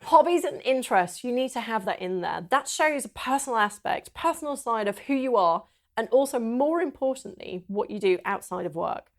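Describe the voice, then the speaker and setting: Emphatic gravelly woman giving careers advice. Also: a little high, expressive, normal speed. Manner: emphatic, instructive. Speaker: careers adviser guest. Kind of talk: advice in an interview clip.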